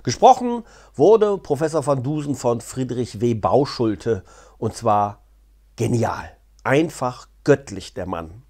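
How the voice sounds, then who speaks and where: A man talks off the cuff to his viewers. His pitch moves around a lot, in a middle register. He is colloquial and chatty, dropping in wry asides. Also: lively intonation, takes it slowly, darkish tone, slightly rough. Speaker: vlogger and audio drama reviewer. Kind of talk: casual unscripted explainer to camera. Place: living room, seated on a sofa indoors. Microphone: clip-on lapel mic.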